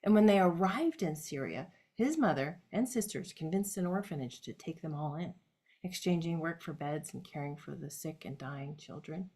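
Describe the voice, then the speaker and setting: A woman's voice: sits low, lively intonation, moderate pace, a little mellow, breathy edge. Woman in a reflective stage monologue. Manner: reflective, addressing her late grandfather directly. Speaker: solo stage performer. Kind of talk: autobiographical monologue performance.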